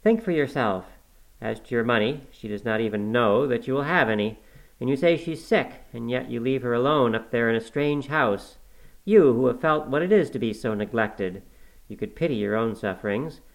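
A man's voice: medium pitch, expressive, normal speed, creaky, poor audio. A stern elderly woman servant in a classic novel, played by a male narrator. She is passionate and scolding, speaking with emphatic, reproachful indignation. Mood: reproachful, pleading. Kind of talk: audiobook character acting.

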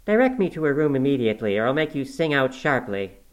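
A man's voice: mid-range voice, moderate pace, lightly acted. Guy reading as an older servant woman, stern n indignant, scolding in an audiobook, really emphatic. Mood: stern, angry. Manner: emphatic, reproachful. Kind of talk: audiobook character acting.

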